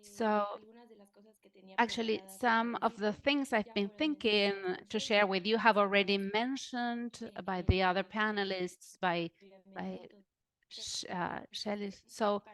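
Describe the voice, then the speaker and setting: A woman giving simultaneous interpretation into English on an online panel, halting and repeating words as she catches up. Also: medium pitch. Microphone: video call.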